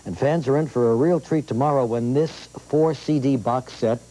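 A man's voice: medium pitch, normal speed, mellow, low-quality recording. Chatty older male TV host. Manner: chatty, repeats himself, affirming interjections. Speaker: talk show host. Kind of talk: morning TV interview. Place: TV studio set with audience.

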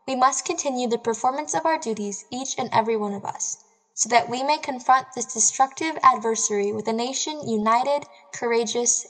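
A woman's voice: a little high, a bit bright. Echoing, resolute female statesman acted by a woman. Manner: oratorical, earnest. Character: resolute cabinet secretary urging calm on the nation. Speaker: government official. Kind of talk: formal radio address to the nation. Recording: long hall-like reverb.

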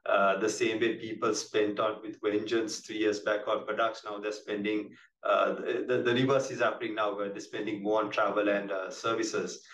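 A man with a Sri Lankan accent answering questions as a company executive on an earnings call, halting and measured, often repeating words. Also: on the low side, little pitch movement, fairly bright tone. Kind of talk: business presentation, answering questions. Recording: roomy, distant mic with clear background noise.

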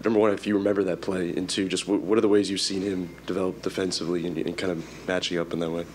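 A man's voice: sits low, level tone, fast, slight background noise. A man asking a question as a sports reporter at a press conference.

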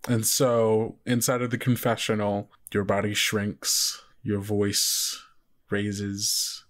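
A man running a tabletop role-play game as game master, narrating and chatting about rules in an informal, halting way. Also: fairly low pitch.

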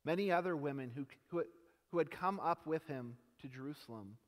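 A young man reading scripture aloud in a large church sanctuary, slow and measured, his phrases dropping softly at the ends. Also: on the high side. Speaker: scripture reader. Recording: long church hall reverb.

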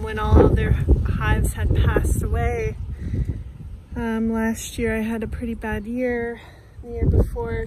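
A woman in her thirties from Canada, talking casually and sounding let down as she inspects a beehive in her backyard, filmed close on a phone. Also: on the high side. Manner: disappointed, thinking aloud while inspecting a hive. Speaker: beekeeping vlogger. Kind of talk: casual vlog commentary. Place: outdoors in a backyard bee yard. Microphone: phone camera held close, selfie framing. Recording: loud outdoor noise on a phone mic.

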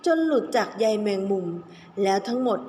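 A woman's voice: a little high, expressive, moderate pace, mellow. A woman teacher telling a children's story expressively, stretching key words for young listeners. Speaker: kindergarten teacher telling stories. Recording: echoey room with faint background noise.

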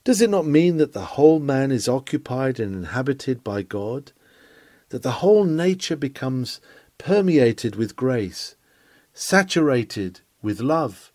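Male sermon reader, mid-pitched and mellow, earnest and emphatic, rhetorical phrasing. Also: dry recording. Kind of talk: scripted sermon reading.

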